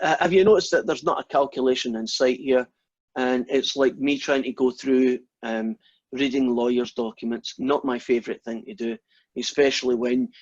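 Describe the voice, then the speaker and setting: A man's voice: medium pitch, no room sound, dull audio. Rambling Scottish man lecturing. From Scotland. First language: Scots. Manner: rambling, anecdotal, self-deprecating. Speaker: maths lecturer. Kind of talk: informal university lecture.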